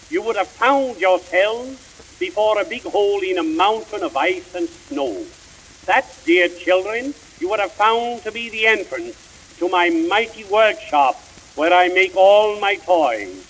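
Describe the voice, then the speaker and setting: A man voicing old Santa Claus, telling children a slow, grand, sing-song story on an old recording. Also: sits high, lively intonation, a bit bright, a little hiss or hum, poor audio. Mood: storytelling. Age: older.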